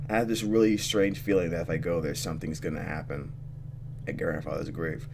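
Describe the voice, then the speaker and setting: Male let's player, lower register, expressive, switches between reading game text and commentary; faint noise and hum behind. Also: brisk, gravelly. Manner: expressive, thinking out loud. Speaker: let's player reacting to a visual novel.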